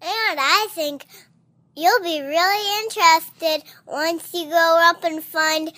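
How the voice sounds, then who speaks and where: Excited little girl, high bright voice, playful, dry sound. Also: slow pace, no echo. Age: child. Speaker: host's child.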